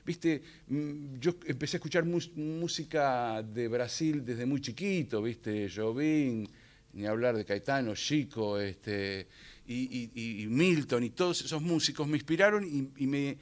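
A man's voice: medium pitch, measured pace. A middle-aged French-born man whose first language is French, speaking Rioplatense Spanish as an actor guest on a radio show, reflective and rambling with many fillers. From France, raised in Argentina. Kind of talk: casual radio interview.

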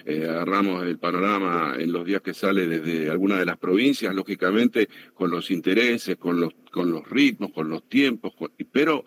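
A man's voice: sits low, moderate pace, few pauses, a bit bright. A man with a Buenos Aires area Argentine accent, a public radio worker earnestly answering interview questions with fillers and restarts. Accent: Buenos Aires area Argentine Spanish.

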